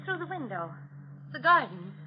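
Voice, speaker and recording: A noisy, muffled old radio recording carries a devoted, anxious wife in a thriller. Her high voice moves expressively as she frets, hesitates and gently pleads. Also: big pitch swings, moderate pace. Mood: worried, gentle. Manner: hesitant, fretful, breaking off sentences.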